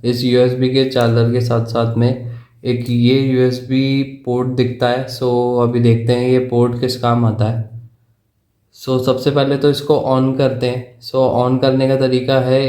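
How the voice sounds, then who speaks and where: A man giving a casual product explanation in a halting, repetitive way. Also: mid-range voice, warm tone. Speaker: product reviewer. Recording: roomy, echoey sound.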